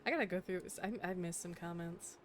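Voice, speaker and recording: A woman's voice: fairly low pitch, steady tone, fast talker, slight background noise. A young adult woman streaming a game, chatting casually in a playful, teasing way and laughing often.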